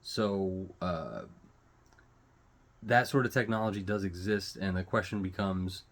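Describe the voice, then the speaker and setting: Low-voiced man, halting casual commentary. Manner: halting, rambling, searching for words; casual swearing. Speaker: host of his own online show. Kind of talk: casual unscripted news commentary.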